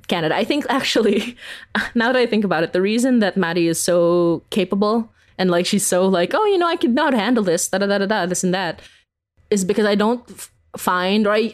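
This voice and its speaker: Chatty, giggly woman with a lowish voice. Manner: giggly, informal, peppered with "like". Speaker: interview guest, horror audio drama creator. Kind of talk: casual, chatty interview answers.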